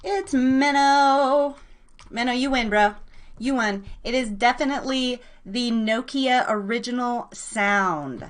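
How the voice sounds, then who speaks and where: High-voiced woman hosting a live game show. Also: slow pace. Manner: excitable, informal, slangy cheering of players. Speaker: live show host running a guessing game. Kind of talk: casual live radio game-show chat.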